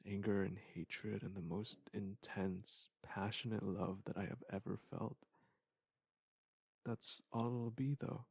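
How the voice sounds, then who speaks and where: A man acting a wistful former lover in an intimate scripted monologue, speaking in a halting, reflective way with a husky, gravelly voice. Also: fairly low pitch, a little mellow, dry recording, full character voice.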